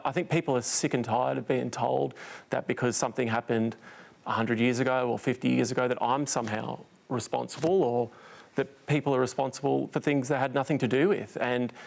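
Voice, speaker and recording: A man speaking as a panel guest in a studio discussion, giving his opinions in a firm, measured way. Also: medium pitch, vocal fry.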